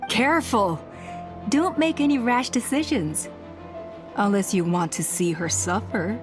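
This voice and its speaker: An actress playing a ruthless clan matriarch in a video game, speaking in measured, persuasive, self-righteous speeches. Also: female voice, medium pitch, close to an everyday voice. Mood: menacing. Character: ruthless clan matriarch villain, convinced of her own righteousness. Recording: music under the voice.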